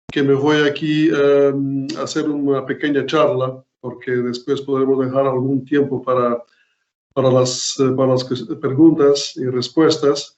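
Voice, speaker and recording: A man's voice: mid-range voice, darkish tone. A Portuguese-accented man lecturing over a video call, stopping often with fillers and restarting his sentences. His voice sits in a middle range. The sound is roomy and muffled, as through a laptop call. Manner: hesitant, many fillers and restarts. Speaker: guest lecturer. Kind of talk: online academic talk.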